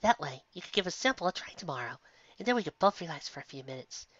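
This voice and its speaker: A sweet, doting mare who keeps a sweets shop, as a woman puts her on, her bright voice swooping up high and down soft. She calls everyone dear in a kind, motherly storybook manner. The recording sounds slightly muffled and dry. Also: animated, fast, no room sound. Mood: soothing. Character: gentle motherly mare candy-shop owner.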